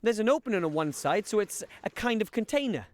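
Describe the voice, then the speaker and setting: A timid young gnome from an adventure game, his voice sitting high and swooping all over as he stammers and fumbles along. He sounds hesitant and easily startled. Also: big pitch swings, quick pace. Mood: puzzled. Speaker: game character.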